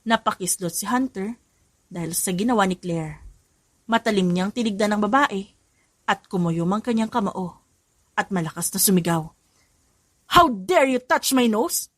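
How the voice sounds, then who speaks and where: A woman's voice: medium pitch, dry recording. A woman giving a scripted, dramatic narration of a story as an audiobook narrator. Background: background music.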